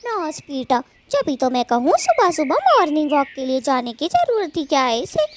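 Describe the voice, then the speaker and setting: A woman voicing a feisty young female cartoon bird, a jealous wife, with a sharp-tongued, dramatic, scolding way of talking. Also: high-pitched, big pitch swings, normal speed, slight background noise. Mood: angry scolding. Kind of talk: Hindi children's cartoon story dialogue.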